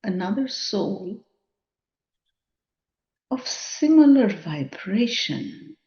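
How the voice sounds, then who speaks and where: A woman guides a spiritual meditation at an unhurried pace. Her voice is mellow and relaxed, with a contemplative delivery and long pauses between short phrases. The recording sounds somewhat dull and lo-fi. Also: medium pitch.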